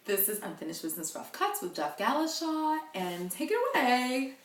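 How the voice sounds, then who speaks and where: Teasing female sidekick, animated, bright, a bit far off mic. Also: a little high, normal speed, echoey room. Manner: playful, teasing, interjecting. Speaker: co-host, project manager. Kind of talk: casual chat.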